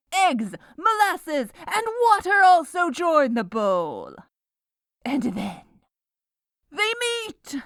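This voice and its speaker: A woman's voice: fairly high pitch, a bit bright, no echo, clean studio sound. A woman performing scripted comedic ASMR narration as a gleefully menacing, melodramatic baker, with a theatrical villainous delivery.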